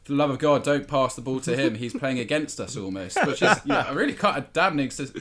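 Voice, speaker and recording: A man giving conversational football analysis as a podcast pundit, measured and articulate. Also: medium pitch, expressive, fairly bright tone.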